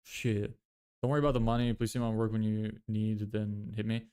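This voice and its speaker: Smooth-voiced young man livestreaming. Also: on the low side, level tone, brisk, mellow. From Lithuania. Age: 20s. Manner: thinks aloud, self-correcting, frequent fillers. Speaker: livestreamer building a business. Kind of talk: casual livestream chat reading viewer questions.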